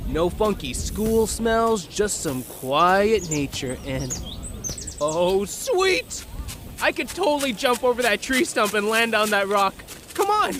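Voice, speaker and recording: Man as a high-voiced, brash teen jock. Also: noisy background. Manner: brash, emphatic. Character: bold, hot-headed, energetic teenage boy athlete.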